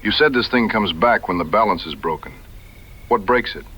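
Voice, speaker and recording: A man's voice: on the low side, expressive, normal speed, pushed and bright, breathy edge, exaggerated voice, rough recording. A man playing a plainspoken, skeptical frontier hunter in an audio drama, earnest and folksy in his backwoods phrasing. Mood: concerned.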